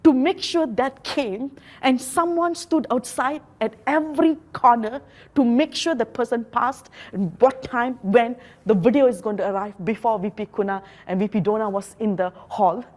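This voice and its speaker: A woman from Malaysia, not a native English speaker, giving an earnest motivational talk with slow, emphatic phrases. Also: on the high side, a little mellow. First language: a Malaysian local language.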